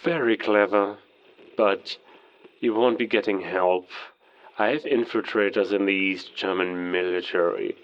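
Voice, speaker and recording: An actor playing a cold, taunting werewolf villain in a cinematic audio drama, speaking deliberately and threateningly through a radio filter. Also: male voice, low voice, fairly even intonation. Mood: smug. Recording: muffled lo-fi military radio transmission.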